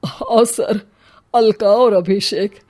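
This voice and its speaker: A woman voicing a grieving old male village headman in a cartoon, talking slowly and breaking into sobs. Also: mid-range voice, steady tone, mellow. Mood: sorrowful. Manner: slow, sobbing, breaking into weeping. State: crying. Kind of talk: Hindi cartoon voice acting.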